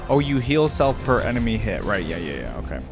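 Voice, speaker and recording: A man's voice: on the low side, sing-song, brisk, vocal fry, over music. A man giving casual reaction commentary as the host of a gaming video.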